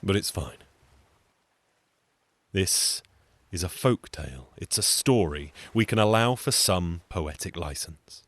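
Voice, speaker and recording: A man's voice: sits low, lively intonation, takes it slowly, long pauses, fairly bright tone, no echo. A man telling a comic folk tale in a theatrical, conversational way, with wry, sardonic asides. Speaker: storyteller, solo show performer.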